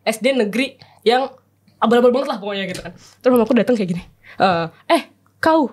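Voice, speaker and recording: A young woman from Medan telling a personal story in a lively, giggly way on a casual panel chat. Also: on the high side, racing. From Medan, Indonesia.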